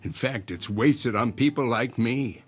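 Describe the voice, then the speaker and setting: An actor playing an eccentric older inventor in an audio drama, speaking in a formal, measured, philosophical and persuasive way. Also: male voice, medium pitch, husky, soft background music. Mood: wistful, philosophical. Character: eccentric old inventor, courteous, cryptic, philosophical stranger.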